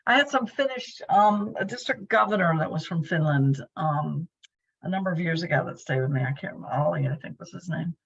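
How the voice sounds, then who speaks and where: Husky middle-aged woman on a dull video call. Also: on the low side, fairly quick. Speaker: club meeting host, filling in for the president. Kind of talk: casual pre-meeting chat on a video call. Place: bedroom used as a home office.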